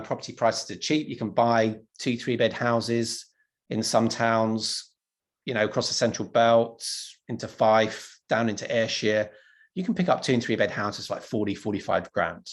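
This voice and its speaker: Man from London, mid-pitched, conversational, frequent ums, presenting online. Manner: casual, many ums and restarts. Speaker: property investor presenter.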